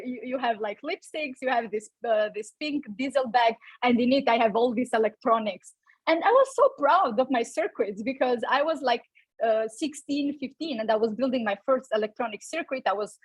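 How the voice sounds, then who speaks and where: A woman's voice: on the high side, breathy edge, no room sound, low-quality recording. A young woman from Albania, giving a personal talk at a company event, with many fillers and restarts. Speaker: invited speaker.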